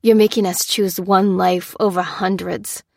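A woman's voice: medium pitch, flat delivery, unhurried, gravelly, darkish tone, no echo, clean studio sound. A woman voicing a desperate, defiant wounded cop in an audiobook, terse and pleading. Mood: fearful. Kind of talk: dramatised audiobook dialogue.

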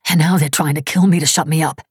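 A woman narrator voicing an injured, hunted man who talks in a hoarse, urgent, pleading rush. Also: a little high, fairly even intonation, fast, no room sound, clean studio sound. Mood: frantic urging. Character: injured hunted young man, frantic and desperate.

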